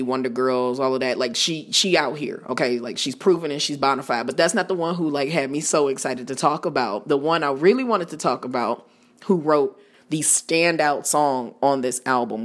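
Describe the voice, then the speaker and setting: Podcast host, husky and rough tonight, casual album-review chatter, laughs often. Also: mid-range voice. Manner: chatty, laughs often, talks straight to listeners. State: worn out, rough-voiced.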